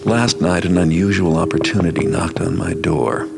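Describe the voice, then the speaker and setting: An actor playing a cynical, world-weary master thief, narrating a game cutscene in a gravelly, deadpan, sardonic, measured way. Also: male voice, low-pitched. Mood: guarded, dry. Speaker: narrating protagonist. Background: music.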